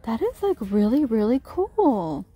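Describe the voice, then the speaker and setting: A woman's voice: on the high side, very animated, measured pace, breathy edge, muffled recording. A woman filming a shop-with-me walkthrough in a big store, chatting and gushing over cute finds, recorded on a handheld phone. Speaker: shopping vlogger. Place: large retail store, indoors. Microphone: handheld phone camera.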